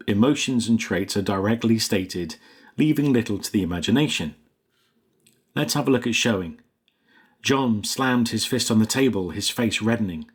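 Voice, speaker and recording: Male writing coach, lower register, husky edge, measured explanatory delivery of scripted instruction.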